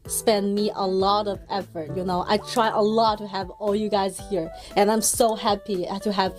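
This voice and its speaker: A woman's voice: mid-range voice, steady tone, normal speed, darkish tone, faint music behind. Chatty woman hosting a podcast. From China. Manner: chatty, many fillers and restarts. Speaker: podcast host. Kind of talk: casual podcast chat.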